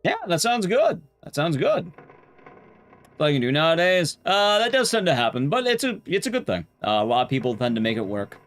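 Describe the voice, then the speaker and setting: A man's voice: a little high, big pitch swings, normal speed, a bit bright, faint music behind. A man streaming a game, chatting casually in a rambling, blunt, conversational way with his viewers. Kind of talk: casual live-stream chat while gaming.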